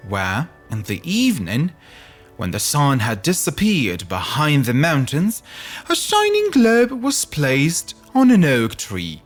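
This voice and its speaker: Slow, highly animated male storyteller. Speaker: podcast host and narrator. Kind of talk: scripted fairy tale narration.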